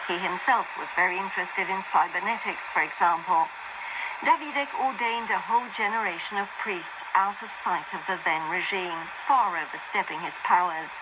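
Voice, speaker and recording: A woman's voice: fairly low pitch, moderate pace, muffled recording. A woman radio reporter reading a scripted news report, heard over shortwave radio. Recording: noisy crackling shortwave radio reception. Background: heavy radio static.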